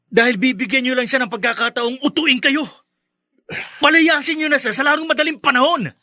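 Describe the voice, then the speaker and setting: Very high-voiced, scornful young son. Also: male voice, brisk, bright, forceful tone, no room sound, clean studio sound, heavily acted. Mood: indignant, defiant. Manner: insistent, biting, emphatic. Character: defiant, scornful young son guarding his family's honor. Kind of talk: Filipino radio drama acting.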